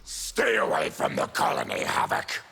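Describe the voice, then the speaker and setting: An actor playing a stern, wary protector of his people in a fighting game, with a hoarse rasping growl. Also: rumbling low, sing-song, moderate pace, full character voice. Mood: stern, accusing. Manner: stern, forceful. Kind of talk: fighting game character dialogue.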